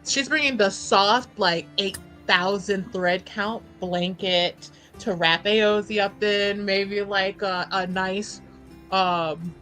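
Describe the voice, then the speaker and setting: A woman's voice: mid-range voice, fairly even intonation, slow pace, sharp tone, faint music behind. A woman chatting casually and animatedly as a player in a tabletop game, slangy and sweary, often laughing.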